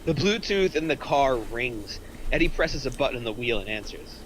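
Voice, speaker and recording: A man's voice: medium pitch, expressive, normal speed, a bit bright, a little hiss or hum, rough recording. A man narrating, reading out screenplay stage directions at a table read.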